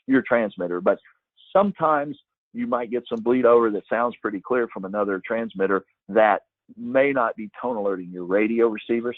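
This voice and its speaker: A middle-aged man with a husky voice giving an informal, conversational webinar talk as presenter, explaining things and reminiscing. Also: mid-range voice, no echo. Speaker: webinar presenter, former broadcast meteorologist. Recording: muffled narrow phone-like line.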